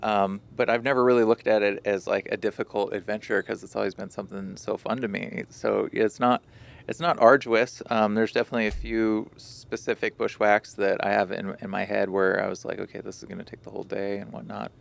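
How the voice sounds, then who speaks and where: A man speaking as a podcast guest, soft-spoken and reflective in a casual chat. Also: on the low side, level tone, fairly quick, gravelly.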